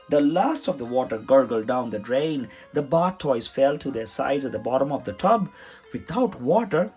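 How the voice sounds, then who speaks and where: A man reading a children's story aloud in an expressive, dramatic storytelling manner. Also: medium pitch. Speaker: storybook reader. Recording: music behind the voice. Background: music.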